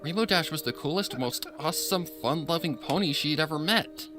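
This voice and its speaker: Guy reading a story out loud, super bright forceful voice, lively swings, music behind him. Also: fairly high pitch, a little fast. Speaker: fan fiction reader. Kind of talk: dramatic scripted narration.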